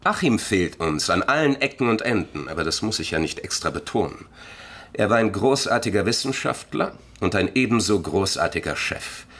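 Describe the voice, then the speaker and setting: Pompous scholar, low husky male voice. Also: lively intonation, moderate pace, a bit bright. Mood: pedantic. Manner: circumlocutory, self-important, enumerating. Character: pompous, wordy male museum scholar with doctorate. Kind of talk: German radio drama acting.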